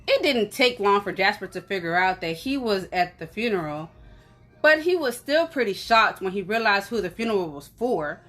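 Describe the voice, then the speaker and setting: A woman reading a story aloud in an animated, conversational way, as if for children. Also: mid-range voice, normal speed, soft background music. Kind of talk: expressive children's story narration.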